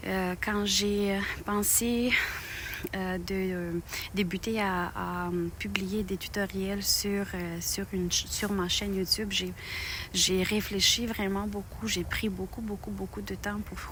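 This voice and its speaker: A middle-aged woman from Moldova, speaking French with a Romanian accent, talks to her channel's viewers in a hesitant way, with many pauses and restarts. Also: mid-range voice, slight background noise. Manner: halting, many fillers and restarts. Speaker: knitting channel host. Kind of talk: personal thank-you video.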